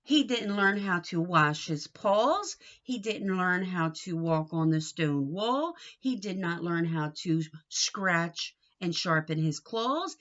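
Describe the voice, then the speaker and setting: A woman reading a picture book aloud to young children in an expressive, sing-song way, coaxing them with questions. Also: medium pitch, highs cut off.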